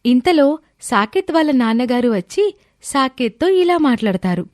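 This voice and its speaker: A woman narrating a scripted moral story in a clear storytelling delivery. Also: sits high, sing-song, normal speed, darkish tone, no echo, studio quality. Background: background music.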